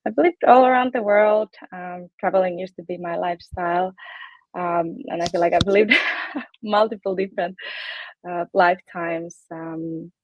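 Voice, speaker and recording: A woman's voice: on the low side, moderate pace, no echo, muffled recording. Bubbly young Finnish-accented woman. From Finland. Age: 20s. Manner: bubbly, frequent ums and laughs. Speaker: fitness coach, guest. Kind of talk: casual interview chat.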